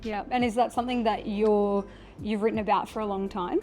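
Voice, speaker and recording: A woman's voice: mid-range voice, steady tone, a little fast, darkish tone. A woman interviewing a musician in a friendly, chatty way. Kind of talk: casual interview.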